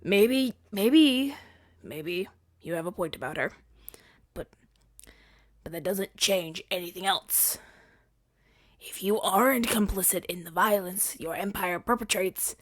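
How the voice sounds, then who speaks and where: A man's voice: high voice, animated, takes it slowly, pauses often, a bit bright, no echo, heavily acted. Man acting an earnest, defensive rebel hero, dry recording. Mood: reluctant, conceding. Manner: conversational, stammering, exasperated arguing. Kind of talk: ASMR-style audio roleplay acting.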